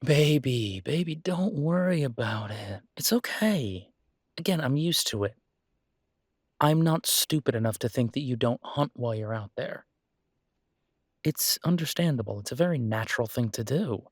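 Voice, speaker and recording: Man acting as a gently hesitant, devoted boyfriend, close-up. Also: mid-range voice, lively intonation, normal speed, husky, no echo. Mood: flustered, reassuring. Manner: hesitant, casual, soothing, with soft chuckles. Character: devoted human boyfriend of a werewolf, gently reassuring. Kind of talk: roleplay audio acting, intimate one-sided conversation.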